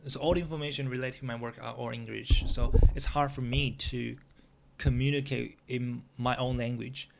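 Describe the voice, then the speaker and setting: Gravelly-voiced man hosting a solo podcast. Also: medium pitch, steady tone, normal speed, a little hiss or hum. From Taiwan. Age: 40s. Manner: earnest, plain, with frequent restarts in non-native English. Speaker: podcast host.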